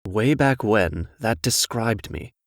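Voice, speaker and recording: A man's voice: fairly low pitch, lively intonation, takes it slowly, no room sound, studio quality. A man playing a jaded, worldly womanizing fairy-tale prince with a frank manner, in a comic dub. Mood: rueful, resigned.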